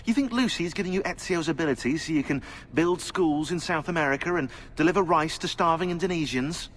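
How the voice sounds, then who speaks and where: An actor playing a sardonic, eccentric British conspiracy researcher turned assassin in a video game, chatty, opinionated and sarcastic. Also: male voice, on the high side, brisk, fairly bright tone, a little hiss or hum, close to an everyday voice. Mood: sarcastic, argumentative. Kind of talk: game cutscene dialogue.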